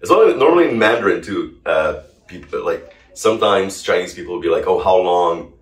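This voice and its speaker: Low-voiced man from Brazil, roomy sound. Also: lively intonation, fairly quick. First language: Portuguese. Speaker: interview guest, polyglot. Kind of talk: casual conversational storytelling. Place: indoor living room, on a sofa. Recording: roomy, distant microphone.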